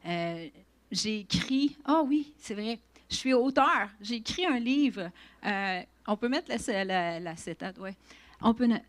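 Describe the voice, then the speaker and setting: A woman's voice: medium pitch, expressive, slow. A woman in her fifties with a Québécois accent, introducing herself as a guest speaker at church, chatty with frequent fillers and restarts. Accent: Québécois French. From Quebec. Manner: warm, chatty, laughs often, many restarts and fillers.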